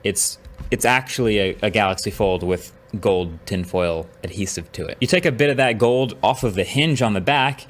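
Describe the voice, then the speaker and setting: A man's voice: fairly low pitch, fairly bright tone. A man reviewing a phone in a played clip, explaining in a matter-of-fact way. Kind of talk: product review.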